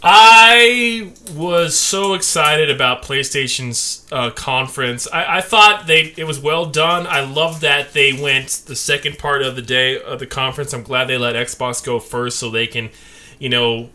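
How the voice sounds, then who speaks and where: A young man co-hosting a casual podcast, excitable and joking with laughter. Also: medium pitch, unhurried. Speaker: podcast co-host.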